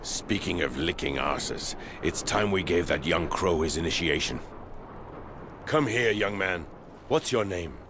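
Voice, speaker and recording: An actor playing a gruff, joking chief of a fantasy watch patrol in a video game, teasing and sardonic. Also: male voice, sits low, lively intonation, a little slow, noisy background. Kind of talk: video game cutscene acting.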